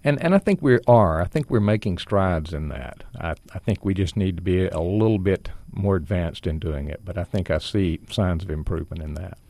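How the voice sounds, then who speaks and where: Deep-voiced earnest man. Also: moderate pace, hardly any pauses, dark tone, dry recording. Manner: earnest, reassuring. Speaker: ministry guest.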